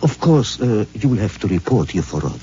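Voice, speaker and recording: An actor playing a smooth, knowing puppet maker who speaks in a suave, sing-song way in a 1950s radio drama. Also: male voice, lowish voice, big pitch swings, fairly quick, dark tone, slight background noise. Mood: sly. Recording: dull old radio broadcast recording.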